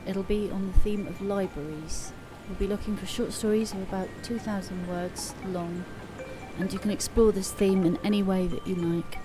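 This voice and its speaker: A woman's voice: mid-range voice, steady tone, moderate pace. A woman hosting a story podcast, giving a scripted closing announcement. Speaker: host of short story podcast. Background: music and chimes.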